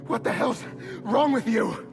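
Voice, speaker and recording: An actor playing an outraged, panicked criminal in a video game, shouting indignantly. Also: male voice, very high voice, lively intonation, a little slow, over loud music. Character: panicked, outraged male criminal being caught. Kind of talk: dramatic video game acting.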